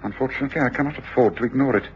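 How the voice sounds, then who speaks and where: An actor playing a brilliant, commanding English detective in a radio drama, speaking precisely and deliberately with an English accent. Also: male voice, mid-range voice, lightly acted. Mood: grave, intent. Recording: muffled, noisy old radio drama recording.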